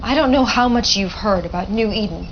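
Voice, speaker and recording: A woman's voice: mid-range voice, brisk, natural voice. Woman playing earnest us military intel officer, game cutscene, lofi w/ faint noise. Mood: tense, businesslike. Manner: earnest, businesslike. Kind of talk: dramatic video game briefing. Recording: dull lo-fi audio, faint noise.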